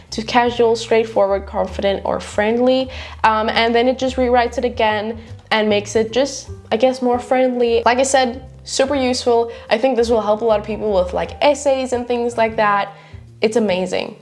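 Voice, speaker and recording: A woman's voice: a little high, a little mellow. Chatty young woman, bit of music behind. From South Africa. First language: Afrikaans. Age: 20s. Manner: chatty, filler-heavy walkthrough. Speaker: YouTuber. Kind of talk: casual screen-recorded tutorial. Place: home office, seated at desk.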